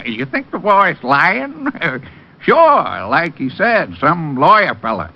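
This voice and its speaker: An elderly, folksy American watchman in an old radio play. He drawls very slowly in a high, swooping voice, easily amused. The recording is dull and vintage. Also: wildly swooping pitch, exaggerated voice. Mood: gleeful, gossipy. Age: older. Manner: drawling, wheezy chuckles, folksy. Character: chuckling, folksy old male night watchman. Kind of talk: 1940s-50s radio character acting.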